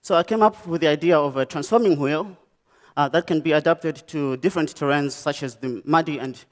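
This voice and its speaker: A young man from Malawi with a Malawian accent, giving a conference talk about his design work, pausing often with "um" and "uh". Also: fairly high pitch. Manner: halting, many "um" and "uh" pauses and restarts. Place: lecture stage.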